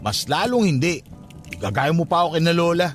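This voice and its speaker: A man's voice: fairly high pitch, sing-song, a little fast, soft background music. An actor playing a brash young man who teases and mocks his cousin in a radio drama. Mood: casual. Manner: teasing, brash, mocking. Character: cocky, skeptical young funeral-parlor worker teasing his cousin. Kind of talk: radio drama dialogue, casual banter.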